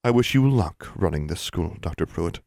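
A man voicing a cold, quietly menacing male official. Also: sits low, animated, moderate pace, dry recording, clean studio sound.

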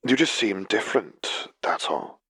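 A soft-spoken, caring young woman, as a male narrator puts her on for a storybook. The voice is lifted a little and stays tender and worried, recorded close and dry. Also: fairly low pitch, lively intonation, unhurried, slightly rough, no room sound, full character voice. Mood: concerned.

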